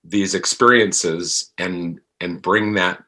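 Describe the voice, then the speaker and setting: A man speaking as an interview guest, reflective and halting as he searches for his words. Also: deep voice, fairly even intonation, pushed and bright. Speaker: podcast guest, actor.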